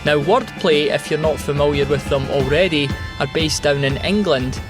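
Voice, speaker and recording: A man hosts an interview podcast in a conversational way. His voice sits a little high for a man, and music plays clearly behind him. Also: moderate pace. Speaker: podcast host interviewing guests. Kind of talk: conversational show introduction.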